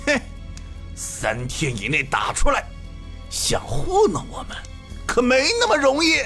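A man's voice: extremely high pitch, wildly swooping pitch, unhurried, pushed and bright, full character voice. A man playing an arrogant, hot-tempered wolf demon soldier who swaggers, sneers and threatens in an audio drama. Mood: sneering contempt. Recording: music under the voice.

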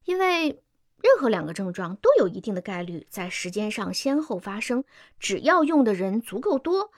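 A woman's voice: mid-range voice, big pitch swings, moderate pace, no room sound, clean studio sound. A woman playing a timid, earnest, educated employee in a radio drama, hesitant and deferential as she speaks up. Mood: earnest, objecting. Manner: hesitant, deferential, meekly objecting.

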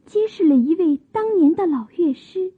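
Woman acting a high-voiced gentle young maiden, muffled recording. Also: expressive, moderate pace. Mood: wistful, recounting. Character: gentle young maiden of old China, modest, shy pipa player. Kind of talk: Chinese radio drama acting. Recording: muffled narrow-band old radio drama.

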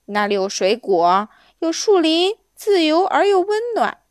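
A woman's voice: high-pitched, sing-song, measured pace, no echo, clean studio sound, pitched above the performer's own voice. A woman voicing a polite, earnest little onion boy in a children's adventure story. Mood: kindly. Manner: courteous, earnest.

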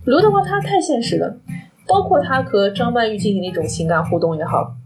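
A woman's voice: a little high, animated, brisk. A woman co-hosting a casual film chat, giving opinionated commentary and mixing in English words. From Shanghai, China. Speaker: podcast co-host. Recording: clear music behind the talk. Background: background music.

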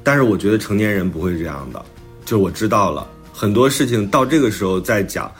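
Low-pitched man talking casually over music. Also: lively intonation, a little fast. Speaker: author and podcast host discussing their own book. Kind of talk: casual book talk. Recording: music playing behind the talk. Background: background music.

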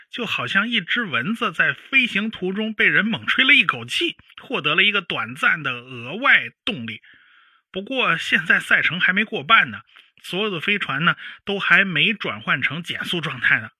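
Radio drama expert: didactic professor, highish voice, swooping emphasis, dry close recording. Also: male voice, sing-song, normal speed, bright, forceful tone, breathy edge, no room sound, full character voice. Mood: measured, explanatory. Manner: didactic, emphatic, with homely particles. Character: animated, explanatory male professor of spaceflight. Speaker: spacecraft chief designer, expert guest.

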